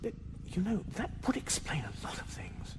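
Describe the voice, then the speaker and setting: A man's voice: sits high, huge pitch swings, normal speed. An actor playing a bewildered, mild-mannered human everyman in a radio comedy, hesitant and politely baffled. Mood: earnest, perplexed. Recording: reverberant hall with background noise.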